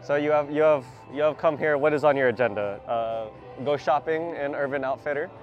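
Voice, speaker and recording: A man's voice: on the high side, brisk. A young man from the United States talking casually with a stranger in a mall clothing store, hesitant, repeating words and laughing nervously. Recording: slightly dull audio with faint music.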